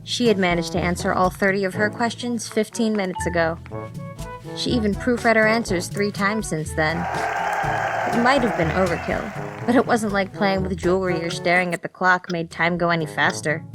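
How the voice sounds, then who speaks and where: A woman reading scripted audiobook narration in an expressive storytelling manner. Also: medium pitch, moderate pace. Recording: music playing behind the voice. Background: music.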